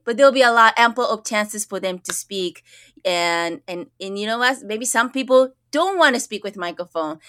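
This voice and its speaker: A woman's voice: medium pitch, fairly bright tone, no echo, studio quality. A young woman from Japan, a school counselor, talking earnestly on a podcast microphone with many fillers and restarts. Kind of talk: casual interview conversation.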